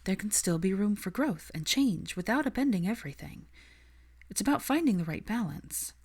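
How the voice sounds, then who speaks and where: A woman's voice: mid-range voice, lively intonation, normal speed, a little mellow, husky, pitched above the performer's own voice. A woman playing an earnest, idealistic young witch in a story reading, thoughtful and principled. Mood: earnest, reassuring.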